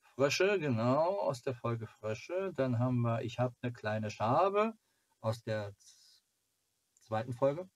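A man in his forties hosting a casual fan club video, talking haltingly and musing to himself as he reviews merchandise. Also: medium pitch, lively intonation. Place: small cluttered home room, indoors.

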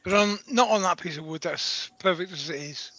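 A man's voice: high-pitched, normal speed, bright, forceful tone. A man co-hosting a live stream over a remote line, chatting and ribbing the host.

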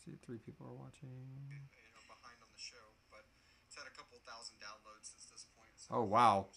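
A man's voice: medium pitch, normal speed, gravelly, noisy background. 30s guy hosting a live q&a at his desk, casual and a bit sweary. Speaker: podcast host. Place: small indoor room at home.

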